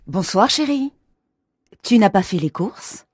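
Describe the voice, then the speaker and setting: A woman's voice: medium pitch, lively intonation, slow, slight background noise. A woman playing a wife in a scripted textbook dialogue, suggesting outings in a light, conversational tone. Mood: chatty. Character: woman named Mariette planning an outing with her partner.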